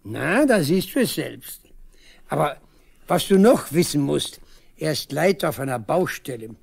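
Creaky-voiced, devoted old village father. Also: a little high, sing-song, unhurried, a little mellow, exaggerated voice. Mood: proud, touched. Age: older. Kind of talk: 1970s East German radio drama acting.

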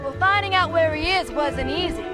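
A woman's voice: high-pitched, animated, moderate pace, over loud music. A woman voicing a sly, flirtatious and confident cat burglar in a video game, teasing and self-assured.

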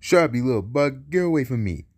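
A man's voice: medium pitch, big pitch swings, quick pace. Man acting out a blustering cartoon villain boss. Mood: angry. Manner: bossy, blustering, repeats words. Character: big blustering cartoon villain boss, bossy and short-tempered. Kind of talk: cartoon villain character lines.

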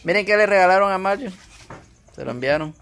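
A woman hosting a casual vlog on an outdoor patio, talking haltingly with lots of fillers. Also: mid-range voice, lively intonation, slight background noise. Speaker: vlog host. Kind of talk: casual chat with viewers.

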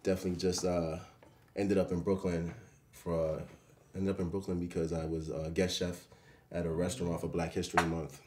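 Very deep, even-toned New man. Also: a little fast, noisy background. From Jamaica and the Bronx, New York. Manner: peppers talk with "you know what I'm saying". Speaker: guest, restaurant owner and chef. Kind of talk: casual interview talk. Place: small restaurant dining room, indoors.

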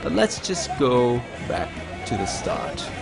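A man presenting a sports highlights show in a scripted voice-over, with an emphatic, measured delivery. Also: huge pitch swings, creaky. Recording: music under the voice. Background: background music.

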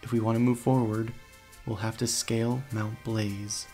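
A man's voice: on the low side, little pitch movement, moderate pace, darkish tone. A man reading scripted first-person story narration for a video game playthrough, as the hero. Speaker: narrator and let's player, speaking in character as hero. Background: background music.

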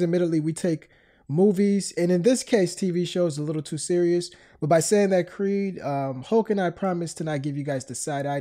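A man's voice: a little high, dark tone. A man hosting a podcast in casual chat, a bit stuffed up from a cold. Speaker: podcast host.

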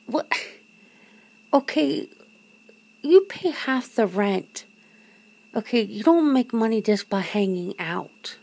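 A woman acting as a vampire's girlfriend in an ASMR roleplay, nervous and teasing. Her voice is fairly high with a slightly creaky edge, and she talks slowly and haltingly, often sinking toward a whisper as she questions her partner in disbelief. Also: lively intonation, warm tone, full character voice, poor audio. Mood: hesitant, confused. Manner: halting, breathy sighs and chuckles, often dropping to a whisper. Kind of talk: ASMR roleplay, one side of an intimate conversation.

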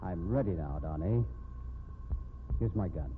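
An actor playing an obsessed, bitter American murderer in an intense, menacing 1950s radio drama style. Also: male voice, low voice, lively intonation, a little slow. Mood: coolly resigned. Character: obsessed, bitter murderer threatening the woman he wants. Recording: noisy, tinny 1950s radio recording.

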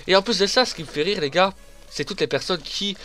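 A man's voice: sits high, fast talker, sharp tone, faint music behind. Young man with a stuffy cold. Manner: chatty, emphatic, addresses viewers as "les gars". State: congested with a head cold, blocked nose. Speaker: gaming commentator. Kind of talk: casual voice-over commentary over gameplay.

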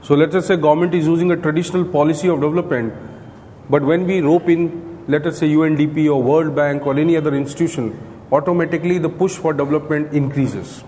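A man's voice: a little high, fairly even intonation, fast, dark tone. Indian man lecturing in an echoey room. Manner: explanatory, often tags statements with "right?". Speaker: coaching lecturer. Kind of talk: classroom lecture. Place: indoor classroom, at a podium beside a screen. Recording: echoey room with clear background noise.

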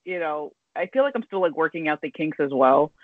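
Halting woman host on a tinny call. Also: low-pitched, animated. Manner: rambling, halting, full of restarts and fillers. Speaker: podcast host. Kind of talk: casual interview chat. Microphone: video call. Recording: tinny dry video call audio.

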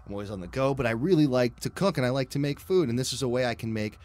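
A man's voice: medium pitch, quick pace, darkish tone. A man hosting a podcast, reading a sponsor spot in a casual, conversational way.

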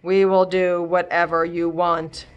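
A woman voicing a busy grandfather in a storybook who turns into a slow zombie. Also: lowish voice, flat delivery, clean tone, highs cut off, close to an everyday voice. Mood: zombie drone.

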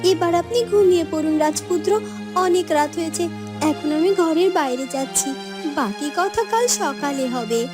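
Woman playing young fairy-tale maiden, very high voice, polite, earnest, cartoon dub, background music. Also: normal speed. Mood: apologetic, polite. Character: gentle, earnest young village maiden, respectful and dutiful. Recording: music bed behind the voice.

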